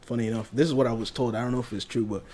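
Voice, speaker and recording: A man's voice: mid-range voice, fairly even intonation, darkish tone, slight background noise. A young man with a North American accent, rambling casually as a podcast co-host. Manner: quick and rambling, heavy slang and fillers. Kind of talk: casual chat.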